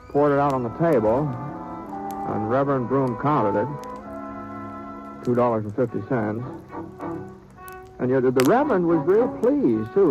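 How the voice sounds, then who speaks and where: An actor playing a reluctant, easygoing western cowhand in an old radio drama, speaking in a halting, folksy, understated way. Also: male voice, medium pitch, darkish tone, lo-fi sound, close to an everyday voice. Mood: rueful, folksy. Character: reluctant, easygoing western cowhand recounting his small-town troubles. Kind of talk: 1950s radio western acting and first-person narration. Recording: noisy vintage radio broadcast recording.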